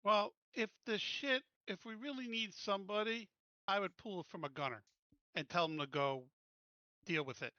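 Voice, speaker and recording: A man plays a tabletop game, speaking bluntly and informally. His voice sits a bit high and has a sharp, forceful edge. It is a close, dry recording with a slightly dull lo-fi sound. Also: normal speed, no room sound. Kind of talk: casual chat during a game.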